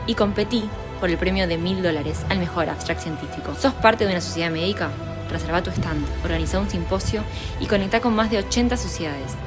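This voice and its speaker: A woman reading a scripted promotional voice-over in a polished, persuasive way. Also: lowish voice. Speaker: ad announcer. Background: music.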